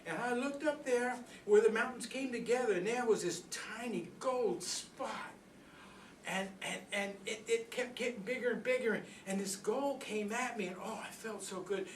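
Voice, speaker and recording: A man's voice: high-pitched, moderate pace, lightly acted. Distant, noisy recording, older man as proud old rooster, folksy storyteller, earnest, rambling, philosophical, comic stage monologue. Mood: earnest, wondering. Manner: folksy, rambling, conversational storytelling with repeated phrases. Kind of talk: comic monologue performed live for an audience. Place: indoor workshop room before a group. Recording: echoey room, distant mic, background noise.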